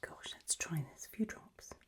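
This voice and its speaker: A woman playing an elegant, doting gothic lady hostess in a whispered ASMR roleplay, with a soft breath and gracious, languid manner. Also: normal speed, natural voice.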